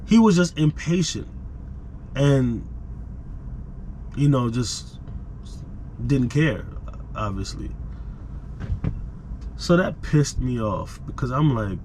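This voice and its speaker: A man's voice: fairly high pitch, darkish tone, slight background noise. A man who vlogs about truck driving, telling a story casually, repeating phrases for emphasis, recorded on an action camera microphone. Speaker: vlogger, truck driver.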